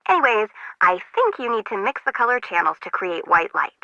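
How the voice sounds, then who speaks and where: A woman's voice: mid-range voice, sing-song, fairly quick, sharp tone, dry recording. A young woman playing a chatty, quirky intern in a video game, breezy and wry, heard over a radio. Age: young adult. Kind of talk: casual video game voice acting. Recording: muffled radio-like sound, dry.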